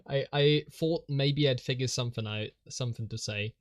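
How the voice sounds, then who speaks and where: A man's voice: mid-range voice, moderate pace. A man whose first language is Polish, rambling and self-mocking as he thinks aloud while drawing on a live stream, chuckling often.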